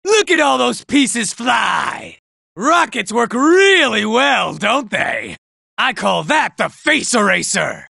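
A vain, gravelly robot soldier. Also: male voice, very high-pitched, sing-song, takes it slowly, bright, forceful tone, dry recording, heavily acted. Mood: smug gloating. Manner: smug, self-admiring, taunting. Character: vain, boastful male robot soldier obsessed with looks. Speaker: game character. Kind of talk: video game combat barks. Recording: dry studio recording.

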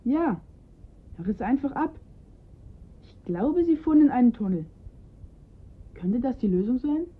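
A woman's voice: high voice, animated, unhurried, long pauses, husky, full character voice. A woman playing an observant, earnest young boy in a radio crime drama, recounting details precisely. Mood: eager, precise. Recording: muffled phone-like band-limited sound.

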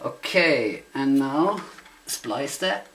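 A man's voice: mid-range voice, animated, drawn-out words. A man with a German accent, a native German speaker, giving a casual hands-on demonstration in hesitant, self-conscious English, searching for words. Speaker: hobbyist demonstrator. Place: indoor room, beside tape machines. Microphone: camera microphone. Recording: noisy amateur camera sound with clicks.

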